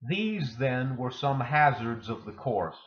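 A man in his forties reading poetry aloud in a measured, literary way, pausing between phrases. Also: medium pitch, sing-song, highs cut off. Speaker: poetry reader. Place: indoor room with bookshelves.

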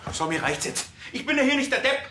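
A man's voice: very high voice, sing-song, fast, bright, forceful tone, echoey room, a little hiss or hum, heavily acted. An actor playing an indignant, put-upon junior policeman with a Bavarian accent in a TV crime comedy.

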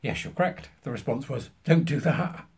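A British man in his fifties telling an anecdote to camera, emphatically acting out both sides of a conversation in a husky voice. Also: mid-range voice, normal speed, darkish tone. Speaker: vlogger. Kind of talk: animated anecdote told to camera. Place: small furnished room at home, indoors.

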